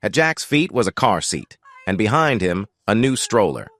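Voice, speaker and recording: A man narrating an audio drama in a steady, measured storytelling voice on a close mic. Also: lowish voice, no echo.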